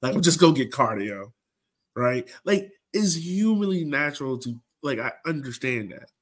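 A man's voice: mid-range voice, big pitch swings, measured pace. A man with a mid-range voice hosts a casual fan sports podcast. He speaks in a blunt, conversational style and offers his opinions freely.

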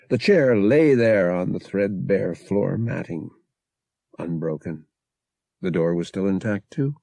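Guy reading a novel, dramatic, expressive, kinda dark husky gravelly voice. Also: low-pitched, no echo. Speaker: audiobook narrator. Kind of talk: dramatic scripted fiction narration.

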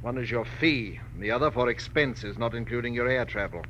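A man's voice: mid-range voice, a little fast, fairly bright tone, muffled recording, close to an everyday voice. An actor playing a precise, deferential secretary in his forties, very polite and formal, in a 1940s radio drama. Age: 40s. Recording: faintly hissy old radio recording.

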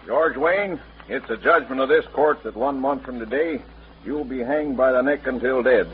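An actor playing a stern, solemn frontier judge in an old radio western. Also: male voice, medium pitch, normal speed, lightly acted. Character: stern, solemn judge passing a death sentence. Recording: tinny, very noisy old radio recording.

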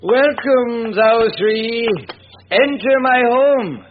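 Noisy vintage radio horror: mocking ghostly host, stately, archaic, very slow delivery. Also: male voice, very high voice, phone-quality sound, full character voice. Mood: ominous welcome.

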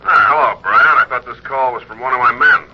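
A dull old radio recording with faint noise carries a man acting as a police lieutenant. He sounds brisk and businesslike at a middling pitch, in classic crime drama style. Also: lightly acted. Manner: brisk, direct.

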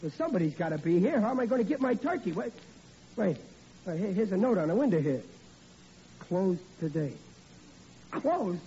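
A stammering, blustering dad. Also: male voice, sits high, big pitch swings, normal speed, pauses often, warm tone, slightly rough, heavily acted. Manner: stammering, bluffing comic bluster. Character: blustering bumbling father Riley. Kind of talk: 1940s radio acting. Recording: dull lo-fi old radio recording.

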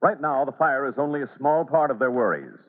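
A man's voice: medium pitch, animated, brisk. A man narrating a scripted radio drama as announcer, emphatic and declamatory in 1940s style. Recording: narrow-band old radio broadcast.

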